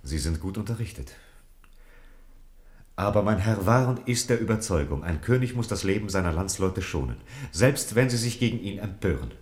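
A loyal French envoy in a radio drama, with a deep voice. He speaks earnestly and courteously, deferential and restrained throughout. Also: lively intonation, moderate pace, a little mellow. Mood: diplomatic pleading. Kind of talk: German radio drama acting.

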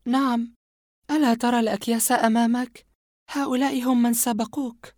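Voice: medium pitch, level tone, brisk, darkish tone, no echo, studio quality, pitched above the performer's own voice, close to an everyday voice. A narrator voicing a scornful young woman with a secret. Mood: mocking. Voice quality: mocking, scornful. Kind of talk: audiobook horror reading.

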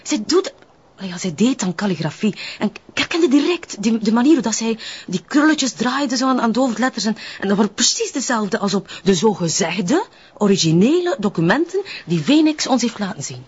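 A woman's voice: fairly high pitch, very animated, a little fast, slightly rough, dull audio, full character voice. An actress playing a doubting, uneasy Flemish woman, hesitant and colloquial, in a TV crime drama. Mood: insistent doubt.

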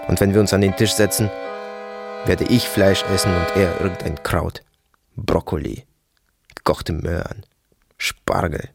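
An actor playing a brooding soldier haunted by family memories, in a halting, fragmentary radio-drama monologue. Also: male voice, low-pitched, fairly even intonation, vocal fry, over music. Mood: wistful, musing. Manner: halting, fragmentary, repetitive musing.

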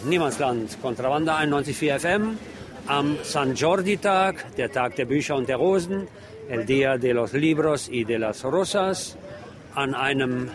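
A man presenting radio reportage as host and street reporter, switching between German and Spanish. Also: fairly high pitch.

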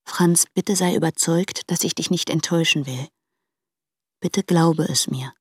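An actress playing an anguished married woman in a radio play, speaking hesitantly in a hushed, breathy voice. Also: deep voice, a little slow, no room sound. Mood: resolute but pained. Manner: hesitant, subdued. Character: anguished married woman torn between husband and lover. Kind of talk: intimate German radio play monologue. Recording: close dry studio, music behind.

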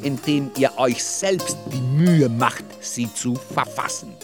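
A man's voice: medium pitch, music in the background, natural voice. An actor as a wry author addressing his audience in ornate, formal literary narration for a radio drama. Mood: ornate, amused. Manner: measured, formal.

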